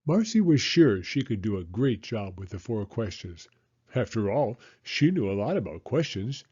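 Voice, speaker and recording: A man narrating a children's storybook aloud in an animated read-aloud style. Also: lowish voice, moderate pace, husky, muffled recording.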